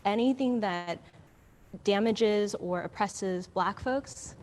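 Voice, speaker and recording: A woman's voice: medium pitch, slow, darkish tone, slight background noise. A woman speaking firmly and emphatically as a guest in a panel discussion, in a conversational tone.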